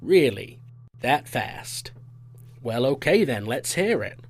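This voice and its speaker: A man's voice: mid-range voice, very animated, slow pace, a little mellow, breathy edge, exaggerated voice. A man playing a businesslike, questioning boss in an audio drama. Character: business-minded male boss in his thirties, questioning. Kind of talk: audio fiction acting.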